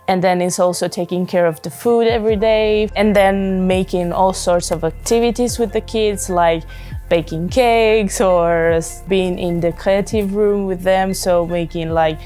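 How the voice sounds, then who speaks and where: A woman in her twenties from southern Italy, speaking English with an Italian accent in a steady, orderly way. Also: mid-range voice. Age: 20s. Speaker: volunteer. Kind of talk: interview testimonial.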